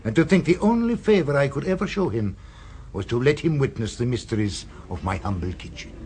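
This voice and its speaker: An actor playing a suave, sinister restaurant owner in a radio drama, with a smooth, purring and insinuating delivery. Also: male voice, medium pitch, lively intonation, fairly quick, a little mellow, a little hiss or hum, natural voice. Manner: silky and insinuating, tags questions with "eh". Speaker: restaurant proprietor.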